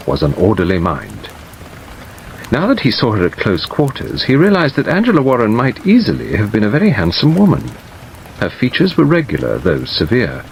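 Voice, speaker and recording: A man reading literary narration as an audiobook narrator, in a measured scripted delivery. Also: lowish voice, creaky, slight background noise, low-quality recording. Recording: steady rain sound over the reading. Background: rain.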